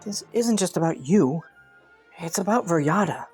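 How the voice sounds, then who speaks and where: Guy playing a young fantasy prince, kinda high voice, formal and courtly, plotting but sincere, music behind him. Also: lively intonation, normal speed, husky. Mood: flustered, surprised. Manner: formal courtly phrasing, softly hesitant at times. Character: ambitious young prince, courtly, scheming yet earnest. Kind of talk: romantic fantasy audio roleplay addressed to listener.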